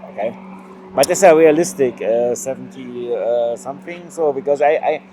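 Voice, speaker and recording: Halting man asking technical questions. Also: medium pitch, dark tone, slight background noise. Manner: halting, non-native phrasing, searching for words. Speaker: interviewer.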